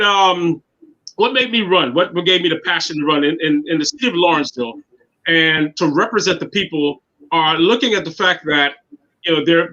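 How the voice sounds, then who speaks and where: Man in his 50s, high voice, earnest, stumbling restarts, dull lo-fi recording. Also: bright, forceful tone. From Guyana. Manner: earnest, repeats words while finding his thoughts. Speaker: guest, city councilman. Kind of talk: casual interview talk. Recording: dull lo-fi sound.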